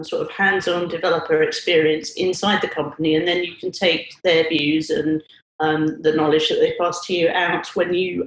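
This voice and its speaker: A woman hosting a podcast interview, friendly and a bit hesitant, with frequent ums. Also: fairly low pitch. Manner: friendly, hesitant with many "um"s, polite British phrasing. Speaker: podcast host, senior analyst. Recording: roomy echo.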